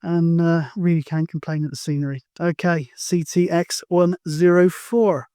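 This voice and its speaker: A man's voice: on the high side, level tone, normal speed, mellow, clear, resonant tone, dry recording, studio quality. A man speaking casually outdoors on a mountain as a CB radio hobbyist, in a hesitant, matey way with radio jargon. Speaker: CB radio hobbyist, video host.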